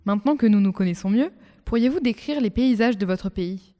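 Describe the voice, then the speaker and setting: A woman hosting a student podcast, reading her questions clearly from a script. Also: medium pitch, animated, fairly quick, music in the background. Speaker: podcast host.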